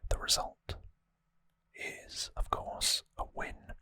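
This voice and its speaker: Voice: takes it slowly, dry recording. Whispering ASMR host, very close and dry. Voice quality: breathy unvoiced whisper. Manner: soft, informal explaining. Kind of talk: whispered ASMR episode recap. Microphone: close microphone, dry sound.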